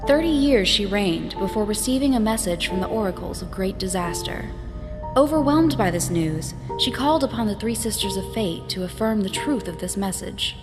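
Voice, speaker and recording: A woman's voice: mid-range voice, animated, moderate pace. A woman narrator telling a myth in a solemn, scripted storytelling style. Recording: long reverb, music behind.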